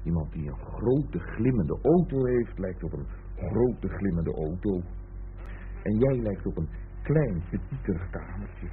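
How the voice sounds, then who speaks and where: An actor playing a lazy, flippant young boyfriend in a radio play, teasing and rambling nonchalantly. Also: male voice, sits low, animated, unhurried. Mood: teasing, musing. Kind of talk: Dutch radio play acting. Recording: tinny, hissy old radio recording.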